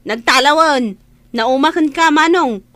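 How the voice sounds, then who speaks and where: A woman's voice: sits high, unhurried, fairly bright tone, no room sound. A woman acting an indignant, outspoken younger sister who scolds insistently in an Ilocano radio drama. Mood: scolding, exasperated.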